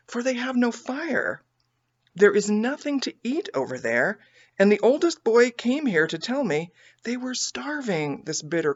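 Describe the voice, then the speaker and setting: A woman's voice: on the high side, highs cut off, pitched above the performer's own voice. Earnest, pleading storybook mother, voiced by a woman. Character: kindly, earnest mother appealing to her young daughters. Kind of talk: storybook character voice.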